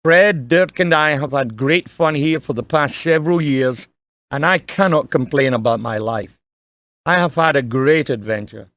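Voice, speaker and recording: A man playing a kindly, earnest dying father in an audio drama, gently and solemnly advising his young son. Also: on the high side, normal speed, no room sound. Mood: earnest, reflective.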